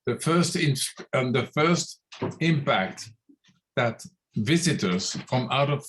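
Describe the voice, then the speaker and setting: A man from Belgium, calling in to give public comment at a city meeting. He searches for words with frequent pauses and restarts, in a middle-pitched voice. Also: lively intonation, fairly bright tone, poor audio. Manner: hesitant, many fillers and restarts. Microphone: phone call into online meeting.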